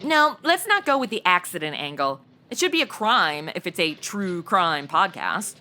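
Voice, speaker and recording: An actress playing a flighty, self-absorbed young podcaster in a comedy audio drama, chatty, slangy and gushing. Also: female voice, on the low side, very animated, moderate pace, sharp tone. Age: young adult.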